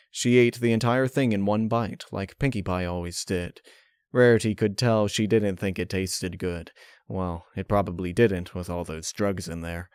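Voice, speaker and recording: A man's voice: fairly low pitch, a little mellow, smooth, dry recording. Man narrating a story, very dry recording. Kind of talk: scripted narration of a dark fan-fiction story.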